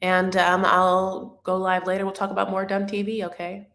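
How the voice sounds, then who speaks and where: A woman's voice: mid-range voice, monotone, normal speed, fairly bright tone. A woman hosting a casual live stream about reality TV, chatting in a rambling way with many fillers and restarts. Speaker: reality TV recap livestream host. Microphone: webcam or phone on a live stream. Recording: echoey room.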